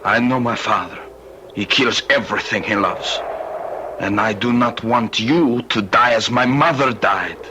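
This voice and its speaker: Man playing a low-voiced, tormented Spanish youth, noisy recording. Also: measured pace, close to an everyday voice. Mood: grim, intense. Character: intense, tormented Spanish teenage boy, shy and embittered. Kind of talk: old-time radio drama acting. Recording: noisy vintage radio recording.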